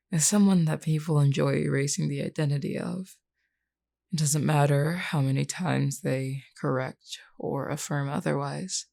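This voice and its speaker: A woman's voice: deep voice, creaky, a little mellow, full character voice. A woman voicing a flirty, wounded female werewolf, teasing and weary, sighing through pain in an intimate audio roleplay. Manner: teasing, sighing, calls listener hun.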